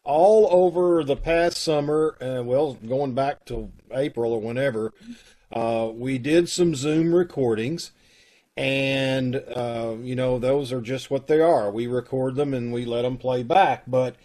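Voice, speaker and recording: A man's voice: mid-range voice, a little slow, mellow. A middle-aged man hosting from home on a video call, announcing and chatting casually. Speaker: host introducing a live radio troupe show. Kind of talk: casual live announcing and chat.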